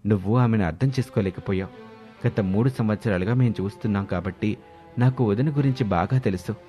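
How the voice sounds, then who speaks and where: A man voicing an earnest, gentle young husband in storybook dialogue. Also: lowish voice, brisk, natural voice. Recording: music behind the voice.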